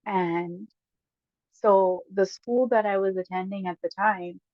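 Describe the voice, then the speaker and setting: A woman with a Guyanese accent tells stories in a casual, lively way, often laughing and speeding up when excited. Her mid-pitched voice sounds dry and dull, as on a lo-fi recording with no room echo. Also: slow. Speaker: guest. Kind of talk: casual storytelling chat.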